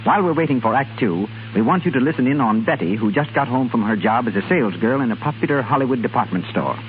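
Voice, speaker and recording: A man's voice: mid-range voice, expressive, fast, soft background music. A man announcing in scripted 1930s radio style, as the voice between acts and commercials. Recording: hissy, narrow-band 1930s radio recording.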